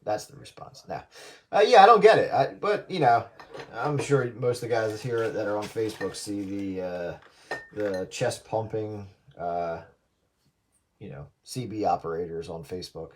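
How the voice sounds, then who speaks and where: A man's voice: on the low side, normal speed, slight background noise. A man in casual radio chat with other hobbyists, teasing and argumentative, mockingly quoting people over two-way radio audio.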